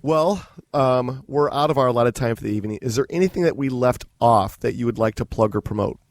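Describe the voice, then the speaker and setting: Relaxed male podcast host, dry sound. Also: medium pitch, brisk, dry recording. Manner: relaxed, rambling questions with restarts. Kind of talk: casual interview hosting.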